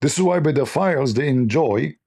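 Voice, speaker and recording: A man with a voice worn hoarse, giving scornful, lecturing commentary on religious texts in non-native English. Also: mid-range voice, expressive, brisk, a little mellow. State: losing his voice. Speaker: religious debate host. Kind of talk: polemical commentary reading texts.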